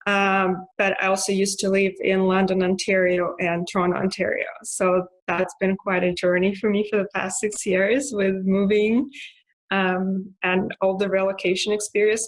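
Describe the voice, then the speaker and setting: Russian-accented woman, hesitant and flat. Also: mid-range voice, normal speed, poor audio. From Russia (Moscow). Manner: hesitant, many fillers and restarts; laughs softly now and then. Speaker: interviewee. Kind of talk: casual personal monologue.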